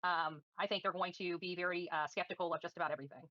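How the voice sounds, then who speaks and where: A woman's voice: on the low side, fairly even intonation, quick pace, sharp tone, dry recording, highs cut off. A woman livestreaming commentary and answering viewers in a combative, defiant, scornful manner. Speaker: livestream host.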